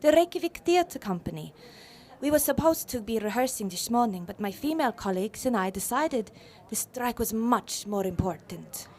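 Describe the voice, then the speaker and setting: An actress playing a warm, proud feminist mother from Iceland in a radio play, brisk and welcoming as she explains things. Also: a little high, expressive. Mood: modest.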